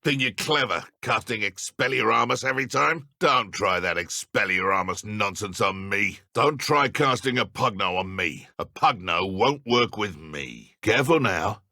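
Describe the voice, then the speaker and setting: Game villain: gruff British dark wizard poacher, gravelly, sneering, wide melodic swings, threatening. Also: male voice, on the low side, animated, measured pace, few pauses, dry recording, studio quality, heavily acted. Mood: sneering menace. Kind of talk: video game combat voice lines.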